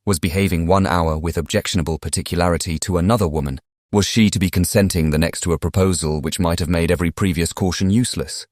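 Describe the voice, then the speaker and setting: Guy acting a young british gentleman in an old novel audiobook, low voice, very dry close sound, earnest and apologetic, fancy wording. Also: dry recording, lightly acted. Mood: explanatory, earnest. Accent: British English. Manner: formal, eloquent period diction. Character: young English gentleman, contrite, impassioned, self-justifying letter writer. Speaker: audiobook cast reader. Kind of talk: dramatized audiobook reading of a letter in a classic novel.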